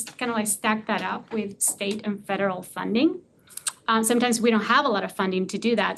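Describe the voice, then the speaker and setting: A woman city transportation planner presenting to a public commission in a soft, low-key way, with many fillers. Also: a little hiss or hum. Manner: soft low-key, frequent fillers, says she has a low voice. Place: city commission meeting room.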